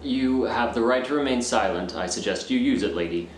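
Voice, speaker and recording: An actor playing a blunt, sardonic police officer in a radio thriller, with a dry, authoritative manner. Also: male voice, mid-range voice, a little fast, close to an everyday voice. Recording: echoey room with faint noise.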